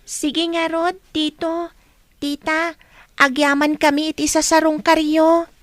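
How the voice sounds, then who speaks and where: A woman's voice: high voice, flat delivery, takes it slowly, no echo. A woman playing a respectful young student child in a radio drama. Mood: respectful, cheerful.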